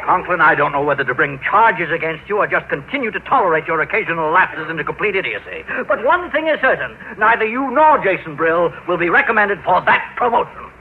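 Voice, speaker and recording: Animated, stern official on old radio. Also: male voice, high-pitched, a little fast, few pauses, phone-quality sound. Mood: sarcastic exasperation. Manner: sarcastic, imperious. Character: stern, exasperated male school official, sarcastic authority. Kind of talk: old-time radio sitcom acting. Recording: thin, faintly noisy vintage radio.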